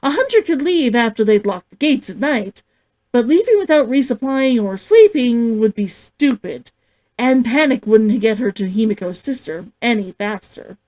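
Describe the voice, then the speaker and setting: A woman narrating a novel as its author, in an expressive audiobook-style read-aloud. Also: a little high, normal speed.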